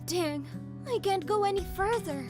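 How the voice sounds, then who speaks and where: A woman's voice: very high voice, expressive, measured pace, over music. A woman playing a tough, protective teenage girl gang leader in a manga dub, bossy and blunt yet girlish. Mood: strained, surprised. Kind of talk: manga dub character acting.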